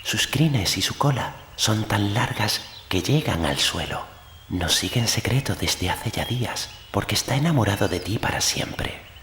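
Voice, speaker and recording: Man playing an earnest, gentle boy, pitched up. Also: lively intonation, a little slow, a little hiss or hum. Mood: gentle, solemn. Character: earnest young boy master, gentle and kindly.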